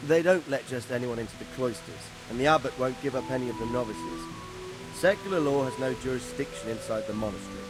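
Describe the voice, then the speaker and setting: A man's voice: mid-range voice, expressive, quick pace, music in the background, close to an everyday voice, rough recording. An actor playing a middle-aged official in a game, formal and considered as he advises a younger man. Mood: grave, considered. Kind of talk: video game cutscene acting.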